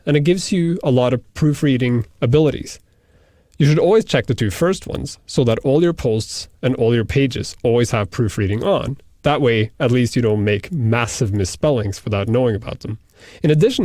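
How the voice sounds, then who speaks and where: Male software tutorial instructor, Norwegian native speaker, methodical step-by-step explainer, mid pitch, dry close sound. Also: no room sound. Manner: explanatory, walks through settings step by step. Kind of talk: screen-recorded software tutorial.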